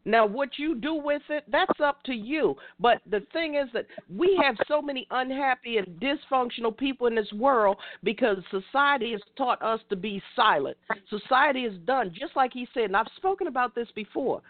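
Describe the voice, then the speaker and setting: A woman's voice: on the high side, lively intonation, normal speed, few pauses, no room sound. Preachy woman in her fifties on a phone line. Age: 50s. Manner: preachy, addresses listeners directly. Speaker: radio show host. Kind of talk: call-in talk show commentary.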